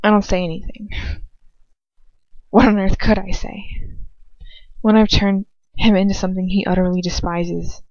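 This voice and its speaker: Woman narrator, medium pitch, dramatic amateur audiobook read, dry and slightly lo-fi. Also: level tone, moderate pace, pauses often, darkish tone, no echo. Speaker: fan fiction reader. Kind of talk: expressive amateur audiobook narration with casual asides. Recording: dull, lo-fi, dry home recording.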